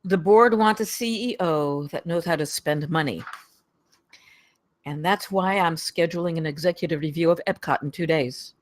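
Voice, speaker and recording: A man's voice: on the high side, normal speed, close to an everyday voice. Man playing a weary, apologetic executive. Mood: grave. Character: weary apologetic middle-aged male studio executive named Card. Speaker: actor at a live table read. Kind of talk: table read of a screenplay.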